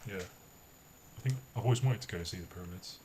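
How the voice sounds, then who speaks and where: A man's voice: sits low, moderate pace, warm tone, a little hiss or hum. A friendly young man from the UK with a London accent, chatting casually and laughing easily. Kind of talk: casual chat.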